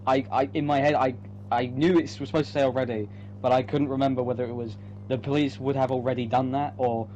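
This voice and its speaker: Man, average-pitched voice, informal rambling talk, emphatic, swears, light background hum. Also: steady tone, fast, a little mellow, lo-fi sound. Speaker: writer of the story being read. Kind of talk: casual chat.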